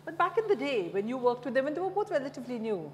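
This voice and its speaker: Middle-aged Indian-accented female host, higher-pitched, conversational questioning, hum in a reverberant room. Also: sing-song, racing. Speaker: host, interviewer. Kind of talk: conversational interviewing. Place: dim café-like room, seated at wooden table. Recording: echoey reverberant room, clear background hum.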